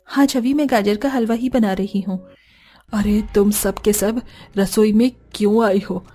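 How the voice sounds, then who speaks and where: A woman's voice: medium pitch, fairly even intonation, measured pace, dark tone, natural voice. A woman playing a dutiful, exasperated young daughter-in-law in a cartoon story, weary and complaining yet compliant. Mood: obliging. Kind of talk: Hindi cartoon story dialogue.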